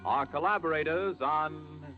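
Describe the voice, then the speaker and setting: A man's voice: a little high, measured pace. A man announcing in a formal, declamatory 1940s radio style. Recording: tinny 1940s radio, music behind.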